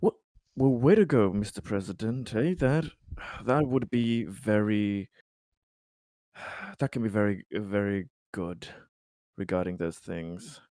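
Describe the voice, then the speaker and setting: A man playing a tabletop roleplaying game, talking partly in character in a hesitant, halting way. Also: lowish voice, dark tone, dry recording, studio quality. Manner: hesitant, halting, repeats himself.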